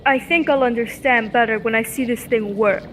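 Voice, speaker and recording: A woman's voice: fairly high pitch, moderate pace, close to an everyday voice, rough recording. A young woman playing a curious, admiring assistant to a scientist in an old-time radio serial. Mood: eager. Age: teenager or young adult. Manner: inquisitive, eager. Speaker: student actor. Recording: faint background noise.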